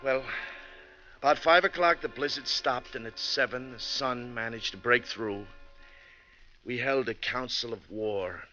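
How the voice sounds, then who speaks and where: A man's voice: medium pitch, unhurried, bright, forceful tone, dull audio, faint music behind. A man playing a wry, tough bus driver who narrates his own story in 1940s radio drama style. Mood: grim, storytelling. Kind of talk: 1940s radio acting, first-person narration. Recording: thin, band-limited 1940s radio recording.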